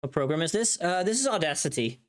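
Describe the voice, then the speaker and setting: A woman livestreamer chatting casually as she works, in a halting way, murmuring to herself between remarks. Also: low voice, expressive. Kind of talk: casual chat while working on a livestream.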